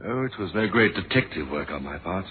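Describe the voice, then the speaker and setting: A man acting a courteous, probing police inspector in a radio mystery drama, formal and measured, firm but humane. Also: low-pitched, animated. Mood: pressing, businesslike. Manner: formal, measured, polite questioning. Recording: muffled, noisy old radio drama recording.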